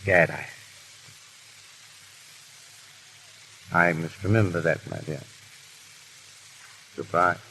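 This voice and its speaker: A man's voice: low-pitched, unhurried, background noise. A man playing a witty, foppish aristocrat in an urbane, ironic 1930s radio drama style. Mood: flippant, affectionate. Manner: urbane, drawling, lightly ironic. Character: foppish, witty English aristocrat husband hiding his feelings. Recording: old 1930s radio recording.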